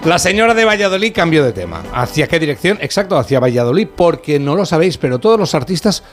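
A male radio host, teasing his co-hosts and breaking into snatches of song. His voice sits slightly high for a man, and a clear music bed plays beneath him throughout. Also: big pitch swings, fast. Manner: jokey, teasing, sings snatches of songs. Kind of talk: playful radio chat.

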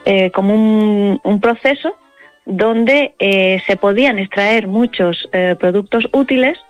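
A woman from Murcia with an accent from Spain, explaining her work as an academic interview guest in a halting, hesitant way with frequent pauses. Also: mid-range voice, dry recording, soft background music. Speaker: interview guest, university professor and scientist. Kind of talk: spontaneous explanatory interview answers. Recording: dull lo-fi radio sound.

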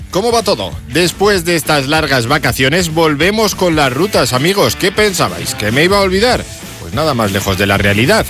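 A man's voice: a little high, a bit bright. A man presenting a hiking-route radio segment in an upbeat, chatty way, asking listeners questions. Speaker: nature-route reporter. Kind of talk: lively radio segment intro.

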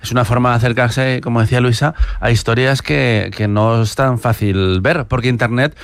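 Brief: male panelist from Cantabria, thoughtful and halting, dry close mic. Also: medium pitch, fairly even intonation, clean tone, no echo. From Cantabria, Spain. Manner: hesitant, many fillers. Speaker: podcast co-host. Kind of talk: casual discussion.

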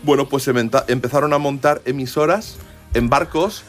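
A man's voice: mid-range voice, normal speed. A man with an accent from Spain chatting informally as a radio music presenter, telling stories in a digressive, jokey, enthusiastic way. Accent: Spanish from Spain. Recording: music behind the voice.

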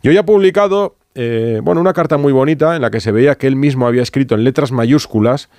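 A man's voice: medium pitch, fast, darkish tone, no echo. Spanish man hosting a dry-sounding radio sports show. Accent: Spain Spanish. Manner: conversational, reads aloud with asides and small laughs. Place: radio studio. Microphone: studio microphone.

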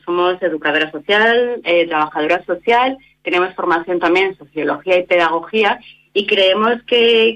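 A woman's voice: medium pitch, a bit bright, rough recording. A woman from Barcelona, a social educator, explaining at length with many fillers and tag questions. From Barcelona, Spain. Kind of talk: radio interview.

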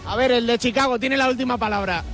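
A man working as a roving reporter, chatting to fans with quick-fire questions in live street interviews. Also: extremely high pitch, fairly bright tone, faint music behind. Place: outside a stadium.

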